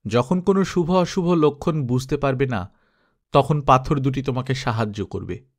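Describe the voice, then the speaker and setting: Male narrator as an old king, wise, calm, instructive, storybook dialogue. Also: mid-range voice, normal speed, natural voice. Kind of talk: audiobook dialogue.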